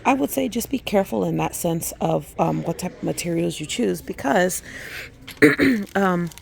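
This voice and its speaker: A woman hosting her skincare channel, hoarse and losing her voice, chatting casually with lots of ums and likes as she walks through a craft store. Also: fairly low pitch, lively intonation, moderate pace. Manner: chatty, many fillers like um and like. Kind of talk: casual shopping vlog walkthrough.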